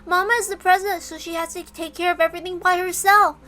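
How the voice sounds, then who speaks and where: A woman voicing a small, childlike stuffed animal who gently consoles a friend in a story reading. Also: very high voice, steady tone, fast talker, a little hiss or hum. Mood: gentle, consoling. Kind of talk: dramatic reading of a visual novel.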